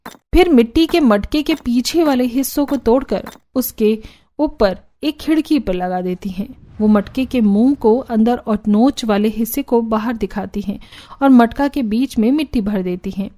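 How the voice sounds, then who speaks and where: A woman's voice: on the high side, normal speed, dark tone. A woman reading scripted storybook narration for a cartoon.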